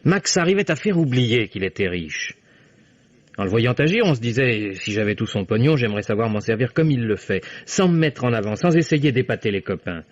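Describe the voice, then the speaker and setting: A man's voice: mid-range voice, lively intonation, fairly quick, lightly acted. Earnest young man played by an actor, dull vintage recording. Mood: earnest, admiring. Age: young adult. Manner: insistent, emphatic, theatrical. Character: loyal, earnest young American lawyer defending his best friend. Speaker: radio drama actor. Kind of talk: 1950s French radio drama acting.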